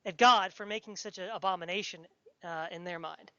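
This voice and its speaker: A woman's voice: fairly low pitch, a little fast, sharp tone, no room sound, lo-fi sound. A woman chatting casually as a podcast interviewer, talking in a rambling, enthusiastic way like a fan.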